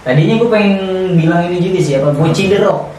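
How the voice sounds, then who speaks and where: Male podcast co-host, Jakarta Indonesian accent, slangy and conversational, slightly high voice, distant in a very echoey room. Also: fast talker, a little mellow. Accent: Indonesian, Jakarta colloquial. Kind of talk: casual chat. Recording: echoey room, distant mic, faint noise.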